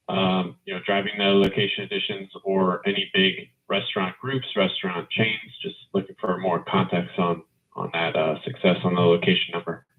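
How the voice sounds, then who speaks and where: A male analyst puts questions on an earnings call. His voice is fairly low and sounds narrow and tinny over the telephone. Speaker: financial analyst caller. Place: phone call into conference. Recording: narrow tinny phone line.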